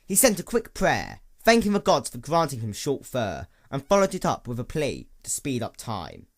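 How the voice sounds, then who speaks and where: Male story narrator, animated pitch, expressive dramatic read. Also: normal speed. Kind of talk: dramatic scripted narration of fan fiction.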